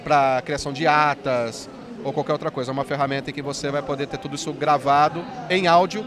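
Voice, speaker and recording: A man's voice: fairly high pitch, fairly even intonation, a crowd in the background. A man in his forties, an app company founder, explaining his product eagerly in an interview at a trade fair, speaking into a handheld mic. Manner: fast, enthusiastic explainer with many "né"s. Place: busy indoor expo hall.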